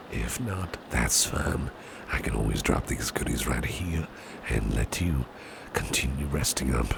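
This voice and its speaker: A man playing a caring, protective businessman boss in a gentle ASMR roleplay, speaking softly and reassuringly, often breathy and near a whisper. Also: a bass voice, noisy background, full character voice. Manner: gentle, reassuring, unhurried, repeats phrases softly. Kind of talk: ASMR roleplay, soft personal attention.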